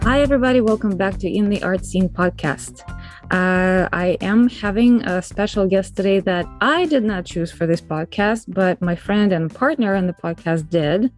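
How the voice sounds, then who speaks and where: A woman's voice: medium pitch, normal speed, soft background music. A woman hosting an interview podcast, chatting in a friendly way with some fillers and easy laughs. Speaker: podcast host. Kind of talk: casual interview talk.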